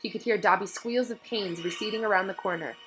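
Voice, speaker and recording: Bright-voiced woman reading a story aloud. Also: mid-range voice, quick pace, faint music behind, rough recording. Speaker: read-aloud narrator. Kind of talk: expressive storybook narration.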